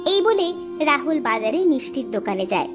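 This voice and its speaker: A woman's voice: high voice, big pitch swings, normal speed, over music. A woman narrating a scripted cartoon story as a storyteller.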